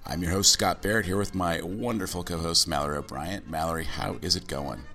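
A man hosting a casual podcast chat, hoarse from a cold with a scratchy voice, talking eagerly with plenty of fillers. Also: low voice, fairly even intonation, fairly quick, sharp tone, soft background music. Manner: chatty, enthusiastic, many fillers. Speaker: podcast host.

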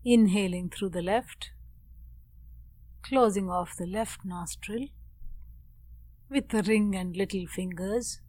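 A woman meditation teacher giving calm, measured guided instruction with pauses and Sanskrit mantra. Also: mid-range voice, no echo.

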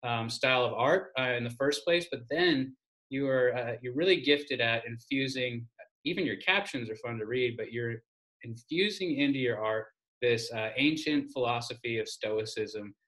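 A man's voice: mid-range voice, moderate pace, a bit bright, rough recording. A man hosting an interview podcast, chatty and enthusiastic, with many fillers and restarts. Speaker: podcast host. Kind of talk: interview podcast intro and chat.

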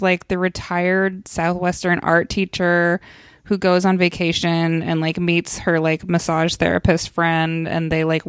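A woman co-host who chats playfully and teases herself, leaning on "like" as she explains things. Her voice is a bit low for a woman and lightly amused. The recording is dry and close-miked. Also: clean tone, no echo. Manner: playful, self-deprecating, chatty with many "like"s. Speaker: podcast co-host. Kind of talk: casual podcast chat.

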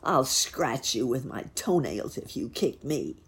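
A woman's voice: extremely low pitch, sing-song, a little slow, breathy edge, full character voice. A woman voicing a bad old male wolf in a children's story, growling, sneering and taunting.

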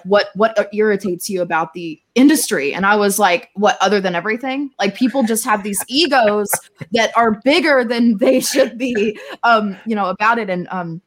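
A woman talks as a guest on a podcast in a medium-pitched voice. She is informal and candid, thinking her points through in loose, conversational phrasing. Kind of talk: casual podcast chat.

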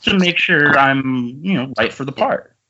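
A man playing an eager, excitable young film actor in a comedic script table read over an internet call. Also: medium pitch, measured pace, lightly acted. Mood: nervous, polite. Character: eager, foul-mouthed young male film actor in his twenties. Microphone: remote video-call microphone. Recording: muffled internet call, faint noise.

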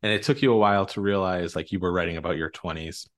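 A man's voice: deep voice, fast, a bit bright. A man interviewing a guest as podcast host, chatting casually with loosely phrased, hesitant questions.

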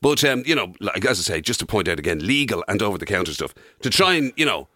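A man's voice: lowish voice, big pitch swings, fast, bright, forceful tone. An Irish man chatting casually and jokingly as a radio host. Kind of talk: casual comic banter.

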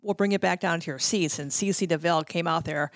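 A woman's voice: fairly low pitch, quick pace, no echo. A middle-aged American woman chatting casually as a podcast guest, telling stories breezily and acting out quotes. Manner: breezy storyteller who acts out quotes. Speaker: podcast guest, former radio promotions worker. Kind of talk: casual anecdotal chat.

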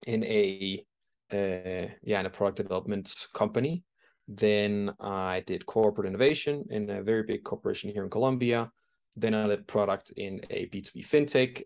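A man from Denmark, a startup founder in his thirties, answering interview questions in a relaxed, searching way with many fillers and restarts. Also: fairly low pitch, gravelly. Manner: thoughtful, frequent fillers and restarts while explaining.

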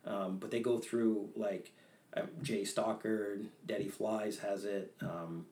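A man's voice: lowish voice, fairly even intonation, slow pace, a little mellow. A young man from Maine, a fly tyer, chatting as a guest in a casual interview with a humble, talkative manner and frequent restarts.

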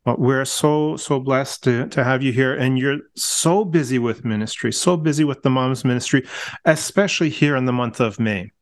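A middle-aged man hosting a casual podcast, friendly and warm, often restarting his words and laughing gently. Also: medium pitch, normal speed. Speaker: podcast host.

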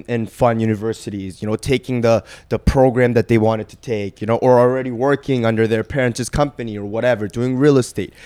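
A man's voice: mid-range voice, darkish tone, clean tone, dry recording. A young man from Korea, a guest on a casual podcast, telling his life story in a laid-back way with lots of fillers. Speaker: guest, boxing trainer.

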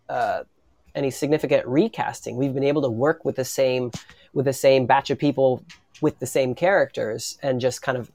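A man speaking as a panelist in a casual discussion, in a halting, reflective way. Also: medium pitch, a little mellow, dry recording, clean studio sound. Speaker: audio drama writer and panelist.

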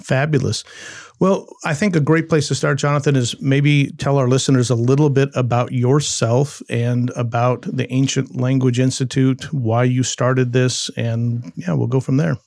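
A man hosting an academic podcast, opening with a scripted intro and then asking questions in an upbeat, measured way. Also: medium pitch, steady tone, vocal fry, a little mellow, dry recording, clean studio sound. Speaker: podcast host.

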